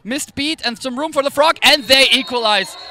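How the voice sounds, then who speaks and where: A man's voice: extremely high pitch, normal speed, bright, forceful tone. An Austrian-accented man, a native German speaker, giving chatty, informative live sports commentary in English. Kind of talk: live quadball match commentary, conversational.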